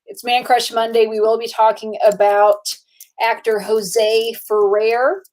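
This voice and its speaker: A woman's voice: on the high side, level tone, unhurried, darkish tone, slight background noise, poor audio. A woman hosting a casual movie podcast, chatty and a little tired, with frequent filler words and laughs. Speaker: podcast host. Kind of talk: casual chat.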